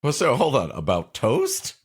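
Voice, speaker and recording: A man's voice: mid-range voice, huge pitch swings, unhurried, slightly rough. A man co-hosting a comedy podcast, riffing in casual, wry commentary. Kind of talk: casual comic commentary and banter.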